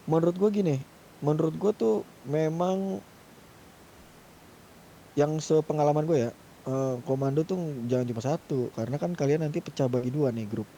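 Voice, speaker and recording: A man's voice: a little high, normal speed, long pauses, warm tone. A man explaining things casually in a gaming group voice chat, using street slang. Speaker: gamer in a roleplay crew. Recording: faint noise, muffled gaming voice chat.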